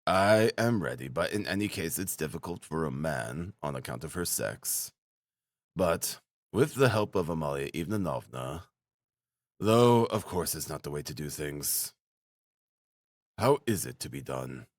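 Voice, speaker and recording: A man voicing a hesitant, evasive gentleman in an audiobook, muttering in halting phrases. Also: a bass voice, expressive, no room sound. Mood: flustered reluctance. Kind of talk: audiobook character acting.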